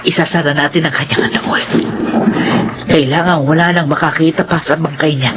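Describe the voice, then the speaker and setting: An actress playing a stern older matron fearful of scandal in a Filipino radio horror drama, with a Filipino accent. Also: female voice, sits low, steady tone, fairly quick, heavy background noise, close to an everyday voice. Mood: grave, firm.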